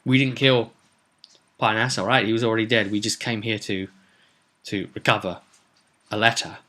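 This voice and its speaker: A man's voice: lowish voice, little pitch movement, moderate pace, bright, forceful tone. A man voicing a hard-nosed, wary mercenary in a tabletop game, speaking bluntly and defensively. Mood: defensive, bargaining.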